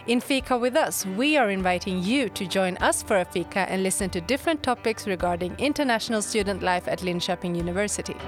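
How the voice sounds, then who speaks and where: A woman reading a scripted podcast intro in an even, explanatory way. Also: medium pitch, quick pace, few pauses, over music. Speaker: show intro narrator. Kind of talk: scripted narration.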